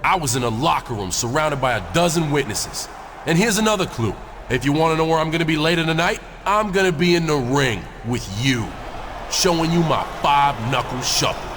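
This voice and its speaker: A man's voice: a little high, animated, fairly quick, background noise, close to an everyday voice. A man playing a cocky, defiant wrestler in a video game, swaggering and full of street slang. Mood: defiant, threatening. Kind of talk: video game cutscene acting.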